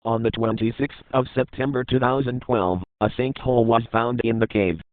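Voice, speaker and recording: A man narrates a scripted horror story as a documentary report, at an average pitch. The sound is thin and narrow, like a phone line, with very little room in it. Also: steady tone, moderate pace, no room sound.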